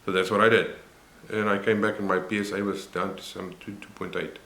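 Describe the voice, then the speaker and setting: Deep-voiced older Afrikaans-man. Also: flat delivery, sharp tone, roomy sound. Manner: unhurried, reflective, many pauses and fillers. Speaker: vlogger. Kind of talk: personal health vlog.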